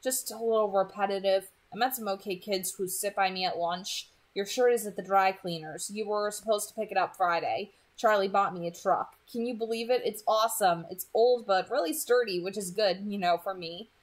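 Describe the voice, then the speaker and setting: A woman's voice: medium pitch, moderate pace, hardly any pauses, close to an everyday voice. Teenage boy, storybook character, dry and patient, soothing a parent female narrator performing. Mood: reassuring, dry. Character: teenage son, dry, patient, soothing his flighty mother. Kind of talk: storybook character voice in a read-aloud.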